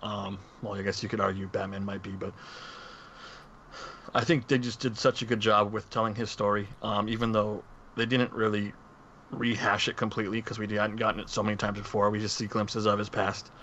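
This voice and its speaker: A man's voice: lowish voice, little pitch movement, a little fast, a bit bright. A man co-hosting a podcast, casually discussing movies with others. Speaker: podcast co-host. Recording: muffled audio with clear background noise.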